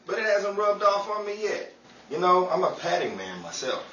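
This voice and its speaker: A man's voice: high voice, very animated, moderate pace, bright, forceful tone, dull audio, exaggerated voice. A man playing a cheeky, scheming 1930s New York hustler with slick, jokey patter, in screwball radio comedy style. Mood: playful, evasive. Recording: echoey, distant, noisy live room with crowd.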